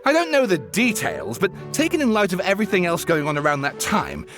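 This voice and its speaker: Theatrical eccentric writer. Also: male voice, high-pitched, fairly quick. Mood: eager. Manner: theatrical, grandstanding, excitable. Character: eccentric showy male writer and researcher. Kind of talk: video game voice acting. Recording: game cutscene with background music.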